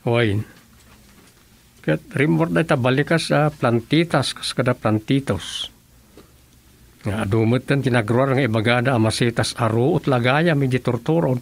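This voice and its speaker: Gravelly Ilocano townsman with schemes. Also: medium pitch, normal speed. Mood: eager, persuasive. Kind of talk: Ilocano radio comedy drama dialogue.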